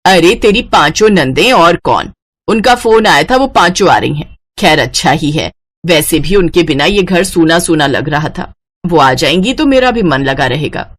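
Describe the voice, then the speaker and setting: A woman's voice: deep voice, expressive, moderate pace, pitched below the performer's own voice. A woman voicing an elderly, motherly mother-in-law who dotes on her daughters in a family story. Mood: pleased.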